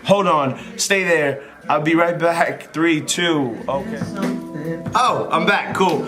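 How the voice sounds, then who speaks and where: A man's voice: on the high side, takes it slowly. Rambling, informal male vlogger over music. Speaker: vlogger giving airline interview advice. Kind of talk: casual unscripted advice to camera.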